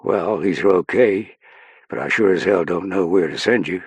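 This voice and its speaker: A man's voice: low-pitched, moderate pace, slightly rough, no echo. A man voicing a plain-spoken military police sergeant in an audiobook, blunt but respectful. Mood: doubtful.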